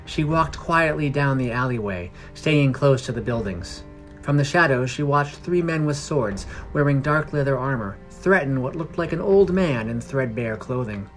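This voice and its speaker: A man reading a scripted fantasy story in a measured storytelling manner. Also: medium pitch. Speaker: podcast narrator. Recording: music under the voice. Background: music.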